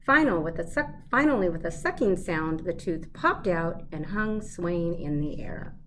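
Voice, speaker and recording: A woman giving an expressive read-aloud of a children's storybook. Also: fairly low pitch, unhurried, a little hiss or hum.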